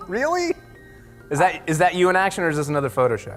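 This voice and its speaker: A man in his thirties interviewing a guest, talking in a halting, stammering way. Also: sits high, a bit bright. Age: 30s. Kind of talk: casual interview.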